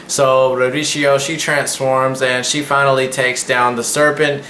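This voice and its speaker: A man's voice: medium pitch, level tone, a little slow, sharp tone. A man in his twenties hosting a casual anime review, rambling and slangy as he recaps. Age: 20s. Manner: slangy, rambling, loose phrasing. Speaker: anime reviewer and channel host. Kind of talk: casual vlog episode recap. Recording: echoey room with faint background noise.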